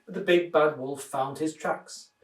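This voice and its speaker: A man reading a children's story aloud in an expressive, dramatised way. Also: a little high, a little slow. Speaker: minister reading children's stories. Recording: roomy echo, distant microphone.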